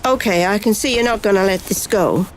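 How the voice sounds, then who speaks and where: A woman playing a brisk, secretive, commanding FBI agent in an amateur radio play. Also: mid-range voice, natural voice. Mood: guarded, defiant. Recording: noticeable background noise.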